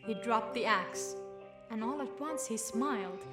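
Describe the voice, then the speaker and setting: A woman's voice: a little high, animated, measured pace. A woman reading scripted story narration as the narrator of a radio drama. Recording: roomy, echoing voice track. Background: music.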